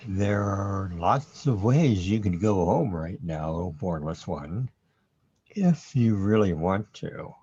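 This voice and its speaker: A man playing a calm, wry metaphysical guide in a radio play, unhurried and matter-of-fact, on a dull lo-fi recording. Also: low-pitched, husky, full character voice.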